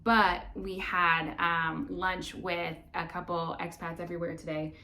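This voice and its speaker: A woman's voice: fairly low pitch, little pitch movement, unhurried, pushed and bright. A woman co-hosting a travel vlog, chatting casually with lots of fillers and restarts. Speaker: vlog co-host. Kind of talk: casual chat.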